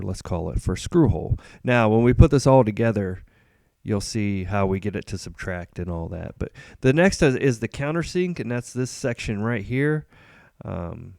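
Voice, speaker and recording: A man hosting a casual screen-recorded coding tutorial, relaxed and rambling with frequent ums and uhs. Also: on the low side, no room sound, studio quality. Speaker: host.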